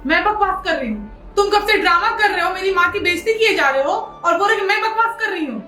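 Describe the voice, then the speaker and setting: A woman plays an indignant wife in a family melodrama, scolding and combative. Her voice is extremely high and sharp, ringing in an echoey room. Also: expressive, fast, far from the mic, full character voice. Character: indignant wife fiercely defending her mother. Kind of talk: melodramatic family drama acting. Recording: roomy echo with dramatic music underneath.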